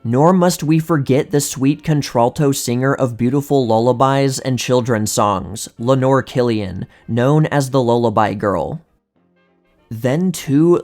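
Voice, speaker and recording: A man reading vintage magazine articles aloud as a scripted narration, in a measured, precise manner. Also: mid-range voice, a little mellow.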